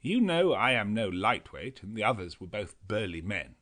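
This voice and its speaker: Low-voiced man reading a classic novel. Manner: measured, expressive reading with a lively, shifting delivery. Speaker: audiobook narrator. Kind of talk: scripted narration of a classic novel.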